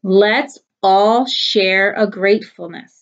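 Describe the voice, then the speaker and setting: A woman reading a storybook aloud, voicing a young girl who misses her school and friends, with a high, pleading voice. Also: slow pace. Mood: eager. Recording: dull lo-fi recording.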